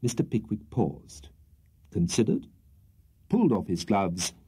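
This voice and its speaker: A man's voice: on the low side, very animated, measured pace, dark tone, slightly rough. A British man reading a literary audiobook as storyteller, in a precise, theatrical manner. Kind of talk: scripted literary audiobook narration.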